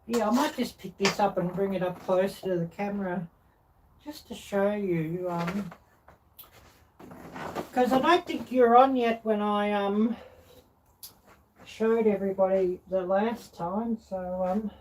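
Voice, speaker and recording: A middle-aged woman chatting casually and unscripted as a live-stream cooking host, halting and rambling as she searches for words. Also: mid-range voice, a little mellow. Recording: distant mic, clear background noise.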